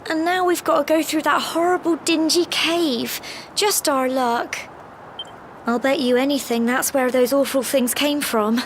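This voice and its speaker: An actress playing a plucky young village girl in a video game, with a chatty, expressive, folksy way of talking. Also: high-pitched, a little slow, slight background noise. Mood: grumbling. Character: cheerful plucky village girl on coming-of-age climb. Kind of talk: video game cutscene voice acting. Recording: game music behind the voice.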